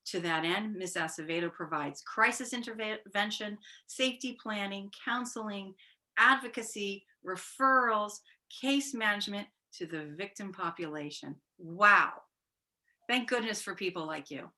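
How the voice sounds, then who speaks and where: A woman's voice: medium pitch, unhurried, pushed and bright. A woman hosting an interview show, enthusiastic and affirming toward her guest, asking curious questions. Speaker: show host. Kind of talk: interview conversation.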